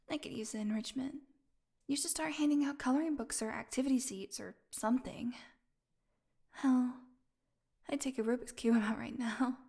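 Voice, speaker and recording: A woman's voice: high voice, gravelly, dark tone, slightly rough, full character voice. A woman playing a snarky, defiant, starved vampire prisoner in an audio roleplay, with a sarcastic, taunting drawl. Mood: teasing, taunting.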